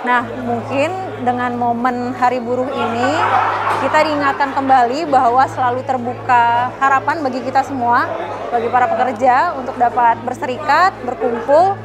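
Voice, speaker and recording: A woman's voice: sits high, steady tone, moderate pace, loud music behind the voice, a loud crowd. A woman speaking reflectively and earnestly in an interview about workers. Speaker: documentary maker. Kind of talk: interview statement.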